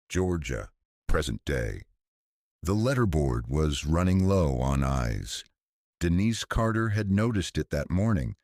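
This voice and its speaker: A man narrating a science fiction thriller audiobook in a measured, deliberate storytelling style. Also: low voice, a little mellow, no echo, studio quality.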